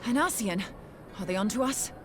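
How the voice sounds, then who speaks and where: A woman's voice: medium pitch, animated, heavy background noise, natural voice. Woman acting plucky, wry young adventurer scholar. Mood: defiant. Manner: brisk, breezy, blunt. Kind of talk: conversational video game voice acting.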